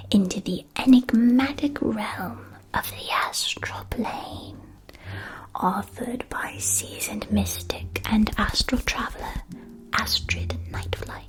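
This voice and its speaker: Woman, breathy whisper, very slow pace, soothing, deliberate, ASMR read-aloud. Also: mid-range voice, low-quality recording. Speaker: ASMR performer. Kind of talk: whispered ASMR roleplay, reading a book aloud.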